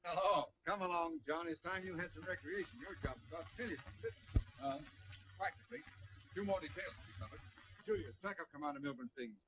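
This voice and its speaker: An actor playing a hearty, genial middle-aged gentleman in a 1930s film. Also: on the high side, fast, very noisy, natural voice. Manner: hearty, upper-class, exclamatory.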